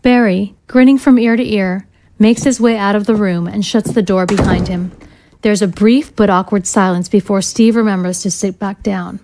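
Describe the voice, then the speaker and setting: A woman narrates an audio drama script, reading out the scene directions. Her voice is at an average female pitch, in a measured scripted style. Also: fairly even intonation, background noise.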